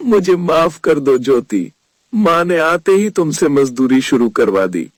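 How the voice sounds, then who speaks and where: A man acts as a gentle young husband in a family cartoon. His voice is high for a man, slow and very animated, with earnest expression. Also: no room sound, heavily acted. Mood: sorry. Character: gentle, concerned young husband and dutiful son.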